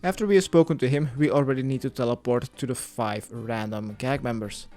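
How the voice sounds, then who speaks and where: A man's voice: medium pitch, fairly quick, mellow. A man narrating a step-by-step video game guide, giving plainly worded instructions as a tutorial voice-over. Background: music.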